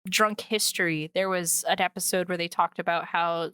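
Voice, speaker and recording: A woman's voice: on the low side, fairly even intonation, moderate pace, pushed and bright, clean tone, no room sound, clean studio sound. A woman hosting a podcast in a casual, chatty style. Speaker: podcast host. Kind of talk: casual chat.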